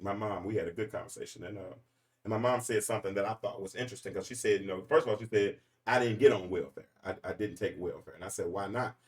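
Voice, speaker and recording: A man's voice: fairly low pitch, recorded from a distance. A man, hosting a freestyle commentary monologue in an emphatic, rambling, preachy way. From Arkansas, US. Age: 40s. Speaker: podcast host.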